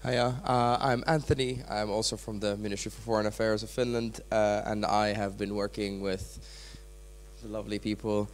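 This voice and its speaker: A man from a ministry introducing himself at a workshop in a friendly, brisk way. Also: lowish voice, little pitch movement, a little hiss or hum. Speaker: ministry staffer, event contact. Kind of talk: self-introduction.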